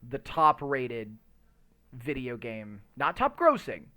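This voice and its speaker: A man hosting a casual podcast chat, opinionated and emphatic, often halting and rambling as he searches for words. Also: medium pitch, very animated, sharp tone, a little hiss or hum. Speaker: podcast host. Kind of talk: casual chat about movies.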